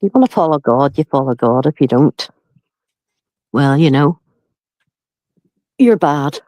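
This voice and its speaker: A woman's voice: low-pitched, expressive, unhurried, mellow, dry recording, poor audio. A woman with a Northern Irish accent chatting casually as a livestream guest, plain-spoken and opinionated. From County Down, Northern Ireland. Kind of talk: casual chat.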